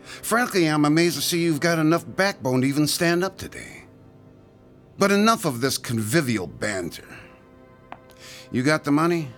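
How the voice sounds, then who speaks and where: A sneering thug in a radio play. His fairly high voice crackles with fry and swings widely as he taunts. The threats are sarcastic and drawn out, with music underneath. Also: big pitch swings, moderate pace, long pauses, fairly bright tone. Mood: mocking. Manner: taunting, mocking, drawling threats. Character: sneering, sarcastic male thug extorting money. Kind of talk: radio drama acting.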